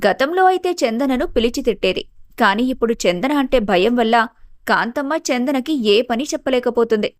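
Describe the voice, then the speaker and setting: A woman's voice: on the high side, expressive, moderate pace, no echo, clean studio sound. A woman giving scripted narration of a family story.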